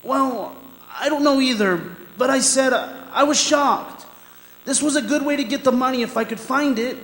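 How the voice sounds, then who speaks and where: An actor playing a frightened condemned man protesting his innocence, halting and intense, in a radio drama on stage. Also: extremely high pitch, fairly bright tone. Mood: anxious, pleading. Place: performing arts center stage. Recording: echoey hall, live stage performance, music behind.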